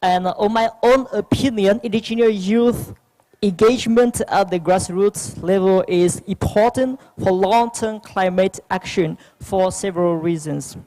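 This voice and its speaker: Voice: mid-range voice, mellow, rough recording. Young speaker with a Vietnamese accent. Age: young adult. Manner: hesitant, halting, many restarts and fillers. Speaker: youth panel speaker. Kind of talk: prepared speech at a youth forum.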